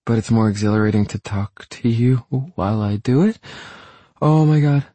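A man's voice: fairly low pitch, normal speed, mellow, no room sound, pitched above the performer's own voice, lightly acted. A man voicing a bubbly, self-conscious, sweetly oddball young woman in an audiobook, gushing and a little hesitant. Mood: nervous, bashful. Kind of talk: audiobook character dialogue.

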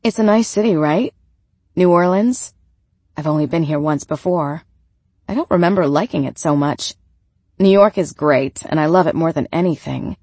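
A woman's voice: low voice, normal speed, dark tone, slightly rough, dry recording. A woman voicing a friendly, eager young American woman in an audiobook, casual and conversational. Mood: chatty, friendly.

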